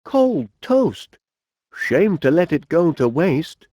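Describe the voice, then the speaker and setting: Eccentric cartoon game inventor, Northern English accent, very animated intonation, slow pace, cheerful, chummy, genteel. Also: male voice, on the high side, no echo, clean studio sound, heavily acted. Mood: chatty musing. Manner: chummy, genteel old-fashioned phrasing, musing aloud. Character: cheerful eccentric middle-aged English inventor, fond of his dog. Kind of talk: animated video game character voice lines.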